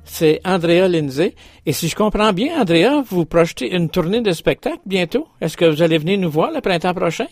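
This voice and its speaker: A man hosting a radio interview, measured and polite as he introduces songs. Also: high voice, lively intonation, soft background music. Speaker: radio host.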